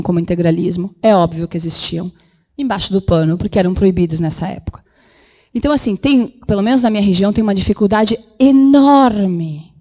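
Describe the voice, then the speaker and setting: A woman giving an animated public lecture with slides in a council chamber, acting out the voices she quotes. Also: mid-range voice, highs cut off. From Brazil. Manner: animated, acts out quoted voices, many fillers. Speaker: guest lecturer.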